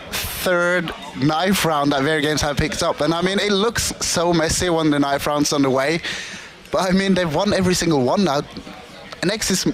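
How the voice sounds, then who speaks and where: A man's voice: a little high, poor audio. A man giving live color commentary on an esports match, casual and playful. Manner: casual, playful, quick to laugh along.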